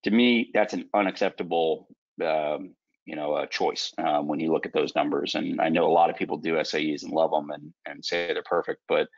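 A middle-aged man talks through his slides on a video call, in a deep, gravelly voice. He sounds frank and conversational, with lots of fillers. The audio is dry and dull, like a lo-fi laptop mic. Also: fairly even intonation, fairly quick, no echo. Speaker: surgeon lecturer. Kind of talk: recorded lecture with slides.

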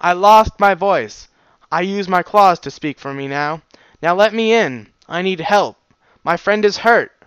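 Man as a desperate dog creature, raised urgent voice, smooth tone, storybook reading. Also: high-pitched, fairly even intonation, moderate pace, fairly bright tone, no echo, lo-fi sound, voice pushed up. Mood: pleading. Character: impatient male diamond dog creature, desperate.

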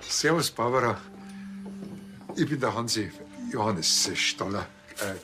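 A man's voice: on the low side, lively intonation, unhurried, loud music behind the voice. An actor playing a laid-back, wily village policeman with a casual, folksy Bavarian accent. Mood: affable. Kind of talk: television crime comedy acting. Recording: faint background noise.

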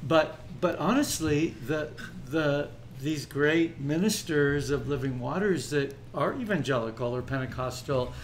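A man's voice: fairly high pitch, a little slow, hardly any pauses, slight background noise. An older man leading a ministry, talking earnestly and reflectively in a casual podcast discussion. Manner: earnest, reflective, speaks in long thoughtful runs. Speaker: ministry leader.

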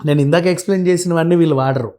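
A man's voice: on the high side, very fast, dark tone. Blunt man explaining things conversationally. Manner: blunt, repeatedly checks the listener understands. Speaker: commentator guest. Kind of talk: animated conversational explanation with acted quotes.

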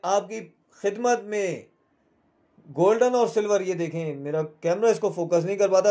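A man's voice: high-pitched, warm tone. A man with a Pakistani accent hosting a live shopping show, selling in a repetitive, urging salesman's manner.

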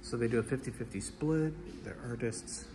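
A man giving low-key, casual commentary as an artist walking through an art gallery, often trailing into murmured asides. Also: normal speed, background noise. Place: large indoor art gallery with hard floors.

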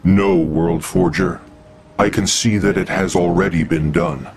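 A grave cosmic watcher from a superhero game. He is formal and measured, low and creaky, over a faint noisy bed. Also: steady tone. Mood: solemn. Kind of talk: superhero video game acting.

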